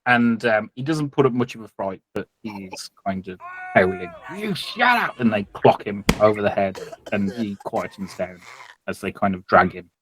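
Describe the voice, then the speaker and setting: A man's voice: lowish voice, moderate pace, a little hiss or hum. A man with a British accent improvising tabletop game narration as game master, colloquial and hesitant as he describes.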